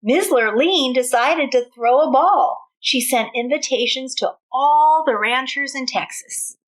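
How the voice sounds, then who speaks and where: A woman teacher reading a storybook aloud to young children, pausing to explain and ask questions. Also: high-pitched. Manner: explanatory, pauses to question the listeners.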